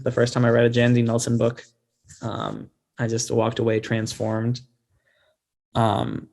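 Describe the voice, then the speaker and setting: A man's voice: mid-range voice, creaky, mellow. A man speaking as a guest author in a casual interview, informal, self-deprecating and thoughtful.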